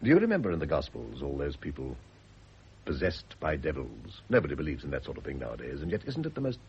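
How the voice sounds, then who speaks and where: An actor playing an evasive, urbane middle-aged British gentleman in a radio drama, speaking hesitantly. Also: very deep voice, huge pitch swings, quick pace, slightly rough, heavily acted. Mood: brooding, cynical. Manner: hesitant, urbane, falsely breezy. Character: evasive, self-deluding middle-aged English gentleman, newly married. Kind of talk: 1970s radio drama acting. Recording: dull, noisy lo-fi old radio recording.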